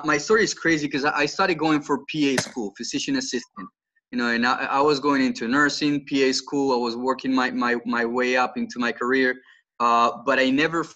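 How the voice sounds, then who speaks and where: Bright-voiced man with a Colombian accent. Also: medium pitch, highs cut off. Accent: Colombian Spanish. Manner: earnest storytelling with many repeated words and restarts. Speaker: podcast guest, financial coach. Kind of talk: casual podcast interview.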